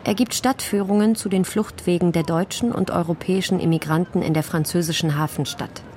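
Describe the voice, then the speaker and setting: A woman's voice: lowish voice, steady tone, fairly quick, mellow, a little hiss or hum. A woman reading scripted narration as a radio documentary narrator.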